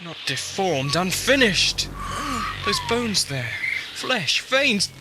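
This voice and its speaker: A man's voice: high-pitched, sing-song, slow, noisy background, exaggerated voice. A man playing an anxious, defeatist space traveller in an amateur 1980s audio drama. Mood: alarmed. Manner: urgent, defeatist. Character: anxious, gloomy male space traveller, quick to despair.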